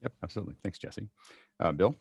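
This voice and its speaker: A man's voice: fairly low pitch, lively intonation, fast talker, a little mellow, breathy edge, no room sound. A man in his fifties hosting a video call panel with short, casual handovers. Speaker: host, moderator.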